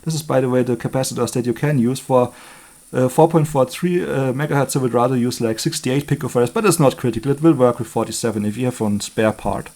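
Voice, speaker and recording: A man giving an unscripted hands-on electronics demonstration as a hobbyist, thinking aloud in short, halting remarks. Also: mid-range voice, a little mellow.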